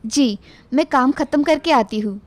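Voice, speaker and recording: Woman playing a high-pitched, meek daughter-in-law. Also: a little slow. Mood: grateful. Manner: polite, deferential. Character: dutiful, meek young Indian daughter-in-law. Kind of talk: animated Hindi moral story dialogue.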